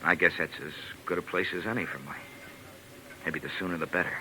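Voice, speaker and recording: An actor playing a bitter, wounded husband on the run in a 1940s radio drama, speaking with raw emotion. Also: male voice, low voice, fairly even intonation, fast, bright, forceful tone. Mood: dejected. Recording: noisy old 1940s radio recording.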